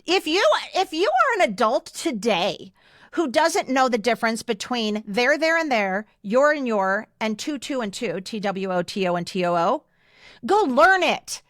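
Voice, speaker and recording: High-voiced, emphatic middle-aged woman. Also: sing-song, a little slow, fairly bright tone, no room sound, studio quality. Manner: emphatic, blunt, opinionated. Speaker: podcast co-host. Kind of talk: casual chat.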